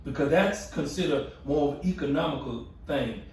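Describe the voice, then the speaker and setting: A man hosting a panel discussion who asks probing questions in a lively way. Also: on the high side, moderate pace. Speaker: host. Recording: very echoey room from far off, faint noise.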